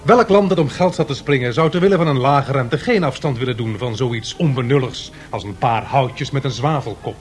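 A man's voice: medium pitch, normal speed, faint music behind, close to an everyday voice. A man narrating as one of several storytellers in a dramatised radio documentary, declamatory and rhetorical.

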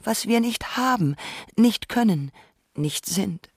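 A woman playing a thoughtful, knowing essayist, speaking scripted radio narration in a measured, reflective way. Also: mid-range voice, animated, a little mellow, slightly rough, no echo, clean studio sound, full character voice.

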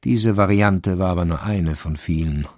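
Low, gravelly male narrator, dry sound. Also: normal speed, darkish tone, no echo. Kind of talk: scripted literary narration of a radio crime drama.

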